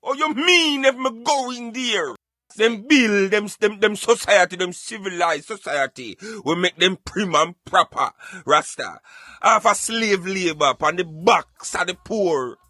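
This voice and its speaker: A man's voice: sits high, expressive, measured pace, bright, forceful tone, no room sound, clean studio sound. A man with a Jamaican accent hosting a radio show, giving emphatic, colloquial satirical commentary in a conversational way. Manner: emphatic, colloquial, addressing listener as brethren.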